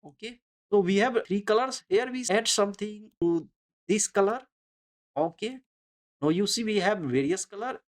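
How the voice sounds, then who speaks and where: Halting software tutorial instructor with non-native English. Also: mid-range voice, expressive. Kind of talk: unscripted screen-recorded step-by-step tutorial narration.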